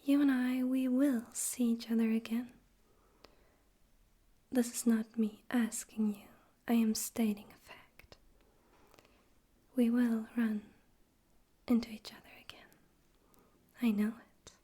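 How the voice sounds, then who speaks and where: A woman voicing a smug, theatrical male demon overlord in an ASMR roleplay, in a soft breathy whisper with a deliberate, teasing, condescending manner. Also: a little high, flat delivery. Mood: smug, fond.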